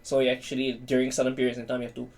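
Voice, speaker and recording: A man's voice: mid-range voice, completely flat delivery, very fast, darkish tone. A man chatting casually on a talk show, explaining things in an enthusiastic, geeky way. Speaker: talk show panelist explaining visual novels. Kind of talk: casual group chat.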